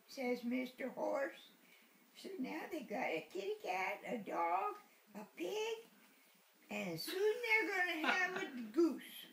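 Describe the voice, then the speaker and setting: A woman's voice: high voice, gravelly. An elderly woman in her eighties reading a picture book aloud to a small child, playfully repeating words and adding asides. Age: 80s. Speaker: great-grandmother reading to a baby. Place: living room sofa, indoors. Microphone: handheld camera a short distance away. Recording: roomy home recording with background noise.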